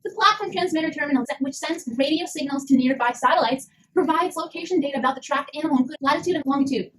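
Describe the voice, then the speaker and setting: A woman's voice: high-pitched, fast, a bit bright, slight background noise, rough recording. A woman voicing an earnest puppet science co-presenter, reading scripted educational narration in an explanatory way. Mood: earnest explaining.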